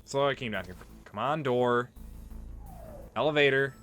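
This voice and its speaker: A man's voice: medium pitch, unhurried, fairly bright tone, soft background music. A man giving casual, rambling gameplay commentary, explaining things as he plays and swearing freely. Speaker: let's play host.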